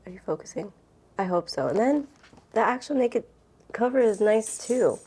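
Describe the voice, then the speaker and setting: A woman's voice: on the high side, lively intonation, moderate pace, warm tone, slight background noise. A woman book vlogger chatting casually and rambling to camera, with an informal, gushing and emphatic way of talking.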